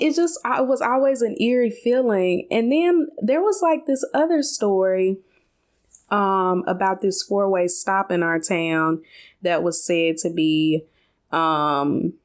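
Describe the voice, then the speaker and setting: A woman co-hosting a podcast, telling stories in a casual, conversational way with colloquial asides. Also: lowish voice. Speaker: podcast co-host.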